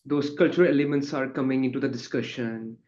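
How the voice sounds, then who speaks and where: A man's voice: medium pitch, steady tone, quick pace, darkish tone. A man speaking informally as a student on a panel, rambling a little and adding tag questions. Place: online webinar call. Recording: dull, muffled online call audio.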